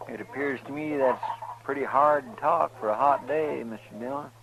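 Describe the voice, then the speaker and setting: An actor playing a deferential frontier deputy in a radio western, with a folksy American rural drawl. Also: male voice, mid-range voice, steady tone, moderate pace, lightly acted. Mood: folksy, concerned. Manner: folksy, respectful. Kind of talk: 1950s radio western acting. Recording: old radio, crowd noise behind.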